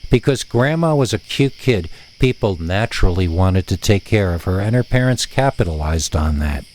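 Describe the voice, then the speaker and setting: Low-voiced man telling a story, crickets behind. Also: expressive, normal speed, a little mellow, slight background noise, rough recording. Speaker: story narrator. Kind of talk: scripted storytelling narration.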